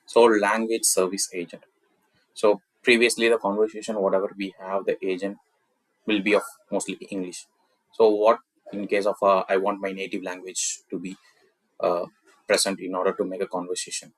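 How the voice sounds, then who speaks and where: A deep-voiced man with a South Indian accent, whose first language is Tamil, presents a software walkthrough. His intonation stays quite flat as he explains each step. The sound is very dry, with little room in it. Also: dry recording, low-quality recording. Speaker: tutorial presenter.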